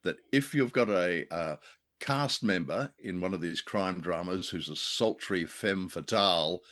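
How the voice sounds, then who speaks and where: A man's voice: low voice, measured pace, fairly bright tone. A man chatting casually and jokily as co-host of a review show, fond of playful wordplay.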